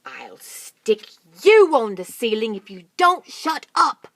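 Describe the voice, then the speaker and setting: Woman doing a shrill, bossy monkey dad. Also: very animated, slow pace, no room sound, heavily acted. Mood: snapping. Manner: shrill urgent commands, shouting. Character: bossy frantic male monkey father, excitable.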